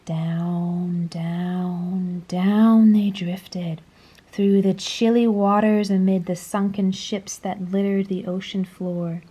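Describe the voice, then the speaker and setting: A woman reading a children's story aloud in a dramatic, deliberate storytelling manner. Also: mid-range voice, little pitch movement, mellow, husky. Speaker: storybook reader. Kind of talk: scripted children's story read-aloud, expressive.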